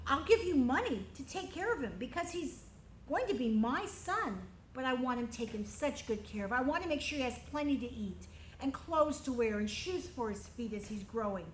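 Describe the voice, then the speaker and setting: A woman's voice: sits high, lively intonation, moderate pace, a little hiss or hum. A woman voicing a bossy, decisive young princess who gives eager commands. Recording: roomy echo.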